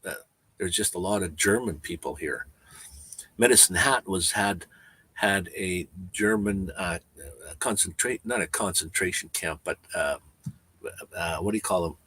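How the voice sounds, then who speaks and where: A man around seventy with a Canadian accent, chatting casually on a livestream, rambling and halting with frequent restarts. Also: low voice, pushed and bright, slightly rough. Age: 70s. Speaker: van-life vlogger.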